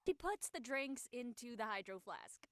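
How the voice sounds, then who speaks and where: A woman's voice: a little high, big pitch swings, normal speed, a bit bright, no echo, clean studio sound. A young woman playing an upbeat, blunt college student, casual and punchy, in a comedic drama reading. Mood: matter-of-fact. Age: young adult.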